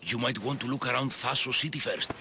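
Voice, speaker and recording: An actor playing an eccentric, obsessive doctor in a video game, fussy and jittery, doting and often repeating himself. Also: male voice, mid-range voice, quick pace, sharp tone, a little hiss or hum. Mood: fussy, resigned. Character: eccentric obsessive male doctor doting on his human pets. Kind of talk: video game character acting.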